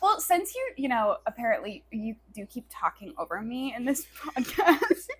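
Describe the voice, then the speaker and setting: Lively, higher-pitched woman co-hosting a podcast. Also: normal speed, husky. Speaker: podcast co-host. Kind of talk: casual comedic banter.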